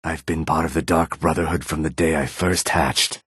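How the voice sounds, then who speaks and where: A proud reptilian assassin from a fantasy video game. His voice sits extremely low, with a husky rasp, recorded dry and close. He speaks in a measured, sibilant, theatrical way, polite yet quietly menacing. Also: fairly even intonation, no room sound, full character voice. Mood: calm, courteous. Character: calm, menacing male lizardfolk assassin, proud and courteous. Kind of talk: video game character dialogue.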